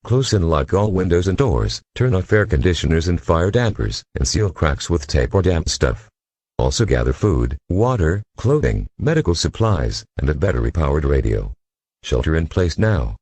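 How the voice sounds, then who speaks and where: Very deep, husky man reading emergency warnings. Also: very animated, normal speed, darkish tone, dry recording. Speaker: emergency alert announcer. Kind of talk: scripted emergency warning announcement.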